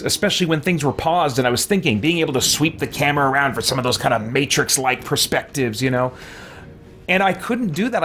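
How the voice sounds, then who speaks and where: A man giving casual gaming commentary as a let's play host, rambling and thinking aloud over menus. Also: a little high.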